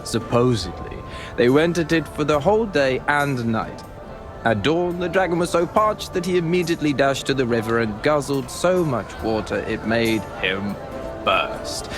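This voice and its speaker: An actor playing a talkative, cynical medieval wine seller in a video game, with a folksy, wry storytelling manner. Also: male voice, medium pitch, moderate pace, close to an everyday voice. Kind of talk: video game cutscene acting. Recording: music behind the voice.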